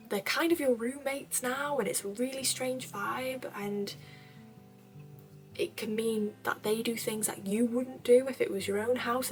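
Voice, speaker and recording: A young woman from North Wales answering viewer questions in a casual chat, rambling a little with fillers and soft laughs. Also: fairly high pitch, rough recording. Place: bedroom at her parents' home.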